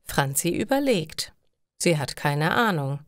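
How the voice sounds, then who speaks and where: A woman narrating simple stories for language learners in slow, deliberate, scripted phrasing. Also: low-pitched, very animated, no room sound, clean studio sound.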